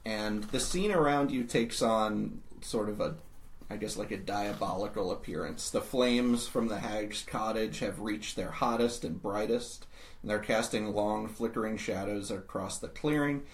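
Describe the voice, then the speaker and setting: A man running a tabletop game, chatting casually with joking asides and hesitant pauses, and narrating scenes. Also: lowish voice. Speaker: game master. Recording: faint background noise.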